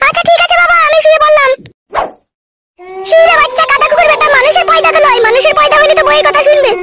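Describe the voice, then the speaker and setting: A woman's voice: extremely high pitch, steady tone, racing. A woman voicing a furious young wife in a cartoon, nagging and cursing at her husband. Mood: bitter. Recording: loud hissy noise behind.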